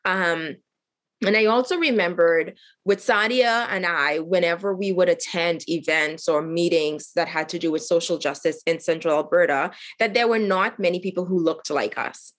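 A middle-aged woman from Haiti tells her story as an interview guest. Her voice sits a little low, and she speaks earnestly and reflectively, often pausing on fillers and restarting her sentences. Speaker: guest, nonprofit cofounder.

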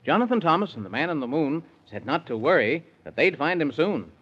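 Male narrator, highish pitch, rhyming sing-song bedtime story, 1930s radio style, muffled old recording. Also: big pitch swings, fairly quick, husky. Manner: sing-song verse recital. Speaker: narrator of a children's serial.

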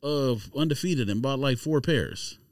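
A man's voice: mid-range voice, lively intonation, a little slow, no echo, clean studio sound. A man co-hosting a casual podcast, bantering argumentatively and often cutting in. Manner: argumentative, interjecting. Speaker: podcast co-host.

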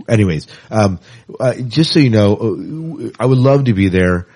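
A man with a distinctly low voice co-hosts a podcast. He talks casually and conversationally, doubling back on phrases for emphasis. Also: background noise. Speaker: podcast co-host.

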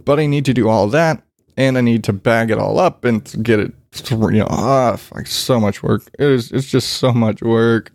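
A man's voice: medium pitch, moderate pace, gravelly, darkish tone, no echo, studio quality. A man with a congested voice ranting casually about yard work, swearing freely and rambling. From Arizona, US. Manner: profane, rambling, self-deprecating. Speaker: vlogger. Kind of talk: casual rant.

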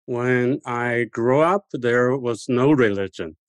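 A man in his sixties from China, a scholar of religion, giving measured, explanatory answers in an interview. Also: mid-range voice, clean tone, no room sound.

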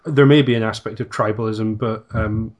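A man in a podcast conversation, speaking in a measured, thoughtful way. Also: on the low side, fairly even intonation, a little mellow. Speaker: podcast guest. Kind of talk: reflective conversation.

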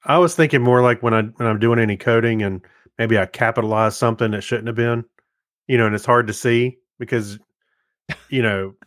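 A man talks as the co-host of a casual podcast. His voice sits at an average pitch and he speaks conversationally. The recording is dry, with hardly any room sound. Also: fairly even intonation, a little fast, fairly bright tone, dry recording. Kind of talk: casual chat and reading out listener comments.

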